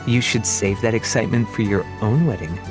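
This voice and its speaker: A man's voice: on the low side, brisk, over music, close to an everyday voice. A man voicing a confident, nagging fifteen-year-old bride-to-be in an audiobook, scolding and self-assured. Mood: teasing.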